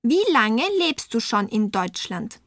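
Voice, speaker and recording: Slow, clear-spoken woman interviewer. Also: on the high side, very animated, fairly bright tone, no room sound, clean studio sound. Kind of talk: scripted language-course dialogue. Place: studio.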